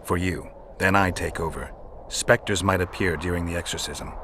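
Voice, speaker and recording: An actor playing a stoic, world-weary monster hunter in a video game, gravelly and matter-of-fact. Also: male voice, sits low, moderate pace, noisy background, natural voice. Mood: calm, instructing. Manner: terse, flat, matter-of-fact.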